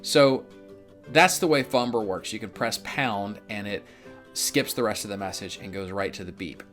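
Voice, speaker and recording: A man's voice: fairly low pitch, normal speed, a bit bright, faint music behind. A man from Memphis giving a conversational instructional walkthrough in a casual, explanatory way, as a real estate instructor. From Memphis, United States. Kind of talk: conversational instructional narration of a script.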